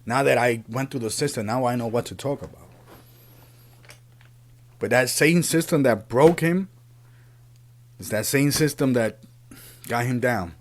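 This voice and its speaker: Earnest New man, halting podcast monologue. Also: medium pitch. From Puerto Rico, raised in the Bronx, New York City. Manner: earnest, halting, repeats words and phrases. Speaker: podcast host. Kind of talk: unscripted opinion monologue on a podcast.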